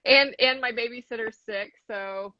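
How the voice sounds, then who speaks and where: A woman hosting an interview over a video call, friendly and unhurried as she asks questions. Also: a little high, bright, forceful tone, no echo. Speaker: interview host. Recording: dry, dull video-call audio.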